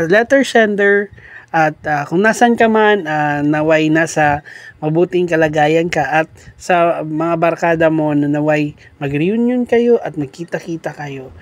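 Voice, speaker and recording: A man with a Filipino accent hosts a bedtime story show, reading listener letters aloud and mixing English into his talk. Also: high voice. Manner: switches between Tagalog and English.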